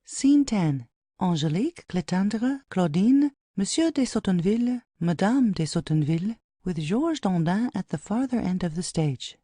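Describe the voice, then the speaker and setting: A woman's voice: medium pitch, expressive, measured pace, warm tone, no room sound, studio quality. A woman reading stage directions and scene headings as scripted play narration.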